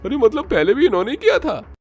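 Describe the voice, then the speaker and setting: A man's voice: extremely high pitch, sing-song, fairly quick, heavily acted. A man playing a blunt, chatty, exclamatory local fellow in a cartoon. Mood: surprised. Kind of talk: scripted cartoon dialogue.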